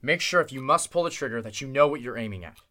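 A man's voice: medium pitch, expressive, fast talker, pushed and bright. An actor playing a tense, protective man in the style of an old-time radio drama. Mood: resolute. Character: Clint, tense protective man searching in fog.

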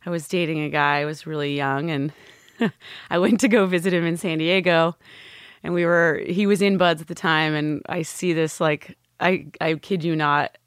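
Low-voiced woman telling her story. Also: steady tone, normal speed, smooth, dry recording, clean studio sound. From California. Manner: candid, good-humored, storytelling. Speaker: podcast guest, stay-at-home mom, former lawyer. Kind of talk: casual storytelling interview.

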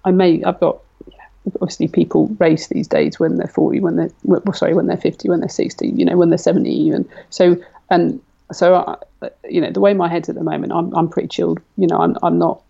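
A woman's voice: on the low side, little pitch movement, fairly quick, vocal fry, mellow, husky, muffled recording. A woman in her forties, a guest athlete, talking casually in an interview and thinking aloud with lots of fillers. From Britain. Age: 40s.

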